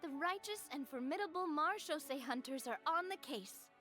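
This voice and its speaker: An actress playing a spirited young woman in a game, cheeky, self-assured and theatrically expressive. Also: high voice, normal speed, soft background music. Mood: confident. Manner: expressive, grandiose.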